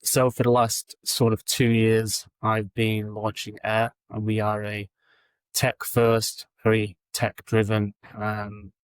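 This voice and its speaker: Male guest, low and flat delivery, casual and steady. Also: slow, smooth, no echo, low-quality recording. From England. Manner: relaxed, steady, frequent ums. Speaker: guest, recruitment founder. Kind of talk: casual interview answers.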